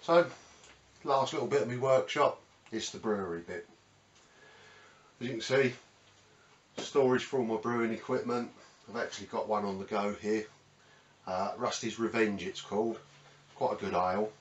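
Middle-aged British man, lowish voice, easygoing workshop host, recorded away from the mic in a small room. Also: normal speed, long pauses, highs cut off. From United Kingdom. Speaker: host of a woodworking channel. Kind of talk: casual workshop tour, unscripted. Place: small cluttered indoor home workshop. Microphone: camera mic a few metres away. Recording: roomy, distant mic, faint background noise.

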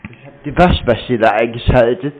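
A man speaking deadpan and tersely in a prank phone conversation. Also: medium pitch, moderate pace, slight background noise. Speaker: prank callee baiting phone scammers. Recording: dull lo-fi phone call recording.

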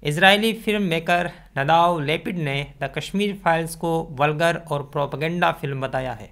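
A man's voice: on the high side, moderate pace, creaky, a bit bright. A man delivers scripted, argumentative opinion commentary to camera, speaking emphatically into a close desk microphone. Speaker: video commentator. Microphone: desk condenser microphone, close.

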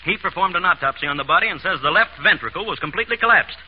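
Casting: blunt, sardonic radio-drama detective, quick-fire delivery, lively swings, slangy, dull vintage sound. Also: male voice, high voice, husky. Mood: sardonic, suspicious. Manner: blunt, wisecracking, colloquial interrogation. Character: suspicious, sarcastic, slangy male police inspector. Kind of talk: 1930s radio acting.

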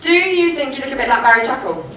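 A woman acting a brisk, teasing pantomime stage partner with an exaggerated, projected delivery. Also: sits high, sing-song, pushed and bright, heavily acted. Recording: echoey theatre from far off, faint noise.

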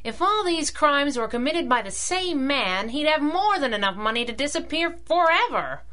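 An actress playing a plucky, sassy young crime-fighting sidekick in a 1930s-style radio adventure. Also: female voice, sits high, sing-song, bright, forceful tone, heavily acted. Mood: doubtful, probing. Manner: sassy, quick with comebacks.

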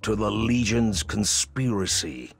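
An actor playing a shrewd rat gang boss in a video game, measured and self-assured, with a gravelly voice. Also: male voice, fairly low pitch, animated. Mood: calculating. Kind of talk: video game cutscene voice acting. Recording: game audio with faint music behind.

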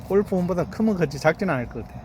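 A man's voice: high-pitched, lively intonation, racing. A middle-aged grape farmer with a slightly raised male voice talks proudly and boastfully about his crop as he walks through an outdoor vineyard. He keeps urging the viewer to take a look. Faint outdoor noise hums behind him. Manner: proud, boastful, repeats "look here" and asks "eh?". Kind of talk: casual vlog walkthrough.

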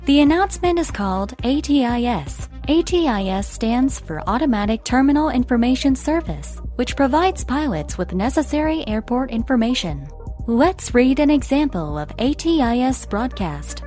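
A woman reading scripted educational narration in a measured, deliberate way, enunciating carefully. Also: high-pitched, big pitch swings, warm tone, soft background music. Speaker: narrator of an explainer lesson.

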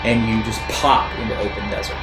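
A man narrating an improvised tabletop game as game master, feeling out his phrases in a halting way. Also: lowish voice, flat delivery. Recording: roomy sound under guitar music.

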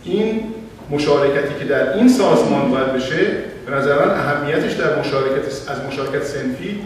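A middle-aged man on a panel, answering an audience question in a measured, explanatory way with some hesitations, speaking into a handheld mic in a library auditorium. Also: a little high. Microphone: handheld mic, heard from far camera. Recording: echoey auditorium from far off, faint hiss.

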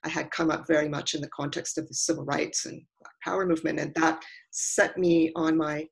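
An older woman giving reflective, prepared remarks as a panelist in a measured, deliberate manner. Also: deep voice, fairly even intonation, creaky. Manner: measured, deliberate, long considered sentences. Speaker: panelist, scholar and writer.

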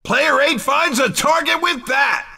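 A man playing a cheeky, excitable race commentator in a video game, calling the action in punchy, playful exclamations. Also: very high-pitched, unhurried, vocal fry, shouting, far from the mic, heavily acted. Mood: excited, hyped. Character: hyped-up cheeky male racing game announcer. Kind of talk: excited arcade racing commentary.

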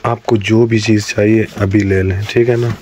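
Family vlogger, male, 40s, lowish voice, chatty running commentary, phone at arm's length, noisy background. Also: level tone, moderate pace, a little mellow. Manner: chatty, narrates every step, urges others to hurry. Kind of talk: casual running vlog commentary. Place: in a car, at home and in a supermarket. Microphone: phone camera held at arm's length. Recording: noisy handheld phone audio.

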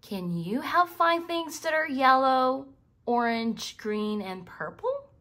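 A woman reading a children's book aloud in an expressive way, speaking directly to young listeners. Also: sits high, slow. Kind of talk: scripted read-aloud narration for young children.

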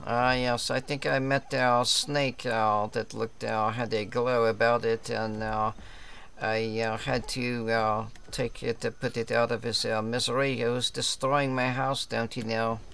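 A man's voice: mid-range voice, flat delivery, a little slow, gravelly, a bit bright, clean tone. A man streaming a game, roleplaying a polite, hesitant character with a put-on French accent and many fillers. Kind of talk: in-character roleplay chat and live gameplay talk.

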